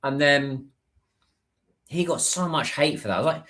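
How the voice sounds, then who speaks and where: A man's voice: fairly high pitch, moderate pace, sharp tone. A British man chatting casually on a livestream, rambling a little with plenty of fillers and restarts. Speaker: livestreamer and business content creator.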